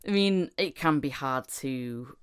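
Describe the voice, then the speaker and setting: A woman's voice: a bass voice, expressive, slow pace, smooth. A woman podcast host with a Northern English, Yorkshire-tinged accent, chatting casually and rambling with many restarts. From Northern England. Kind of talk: casual chat.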